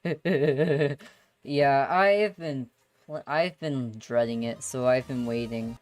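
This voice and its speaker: A woman's voice: extremely low pitch, takes it slowly, darkish tone. A young adult woman hosting a livestream and chatting casually. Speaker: livestream host.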